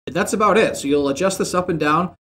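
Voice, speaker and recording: A young man in a home streaming room who explains audio settings in a relaxed, rambling way. He uses lots of fillers, and his desk mic sits about a foot and a half from him. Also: sits high, fast. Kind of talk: casual screen-share tutorial. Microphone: desk mic about a foot and a half away, headset on.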